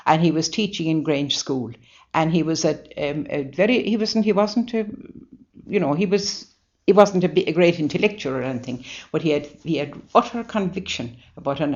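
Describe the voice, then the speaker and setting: Low-voiced older Irish nun. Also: female voice, a little mellow. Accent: Irish, Waterford. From Ireland. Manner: earnest, halting, many restarts. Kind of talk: interview reminiscence. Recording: dull lo-fi recording.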